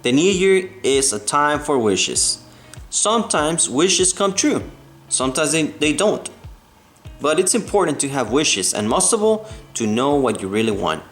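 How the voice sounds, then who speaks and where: A man voicing a kindly female schoolteacher in a children's storybook, deliberate and instructive. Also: on the high side, big pitch swings, a bit bright, soft background music, voice pushed up. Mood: encouraging. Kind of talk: children's storybook read-aloud.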